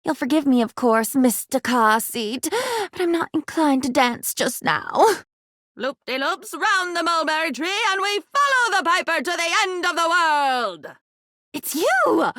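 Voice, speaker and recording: Bitter, theatrical living diary, wildly swooping. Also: female voice, high voice, expressive, unhurried, sharp tone, no room sound, clean studio sound, exaggerated voice. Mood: mocking, whimsical, then accusing, bitter. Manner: theatrical, sardonic, brooding, swinging between muttering and outbursts. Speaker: video game character voice actor. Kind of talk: dramatic video game voice acting.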